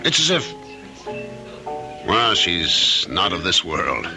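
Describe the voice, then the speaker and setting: A man's voice: deep voice, big pitch swings, slow pace, music in the background, exaggerated voice. An actor playing a resentful, insecure middle-aged man in an old-time radio drama. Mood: nostalgic, confiding.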